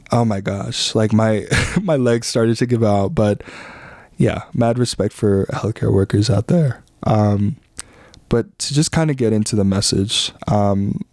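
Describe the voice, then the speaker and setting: A young man from the Philippines hosting a podcast, talking in a relaxed, rambling way with lots of fillers and slang. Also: lowish voice, dry recording, studio quality. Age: young adult. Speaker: podcast host. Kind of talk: casual reflective monologue.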